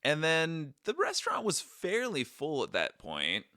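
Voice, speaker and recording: A man's voice: fairly high pitch, a little slow, dry recording, studio quality. A man hosting a travel podcast in casual, joking chat with his wife. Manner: playful, jokey, lively. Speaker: podcast host.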